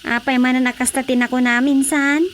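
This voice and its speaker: Woman acting a high-voiced Ilocano village relative. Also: flat delivery, fairly quick, slight background noise, lightly acted. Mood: inquiring. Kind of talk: Ilocano radio comedy drama acting.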